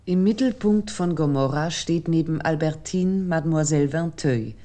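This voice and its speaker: A woman giving a scripted literary lecture as a commentator, in a measured, formal, essayistic manner. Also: low voice, mellow.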